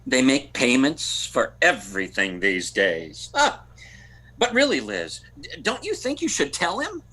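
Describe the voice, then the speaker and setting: A man's voice: medium pitch, wildly swooping pitch, bright, forceful tone, husky, full character voice. A man playing a melodramatic, easily flustered bachelor playboy in 1940s-style radio comedy, with a theatrical delivery. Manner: drawn-out, theatrical, stammering when flustered.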